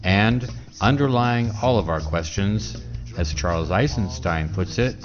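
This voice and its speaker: A man with a fairly low voice hosts a conversational podcast. The recording is slightly dull, with faint music at times. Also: expressive, unhurried. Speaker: podcast host. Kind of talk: podcast introduction and conversational interview.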